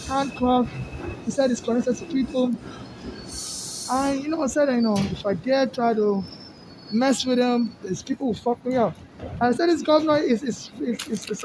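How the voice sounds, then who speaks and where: A woman rambling through a long personal monologue, halting and restarting often. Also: high voice, background noise.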